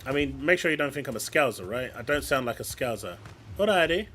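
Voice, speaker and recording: A man's voice: medium pitch, expressive, fairly quick, sharp tone, a little hiss or hum. A man with a London accent chatting casually and opinionatedly while streaming a video game. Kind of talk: casual chat while gaming. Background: game music.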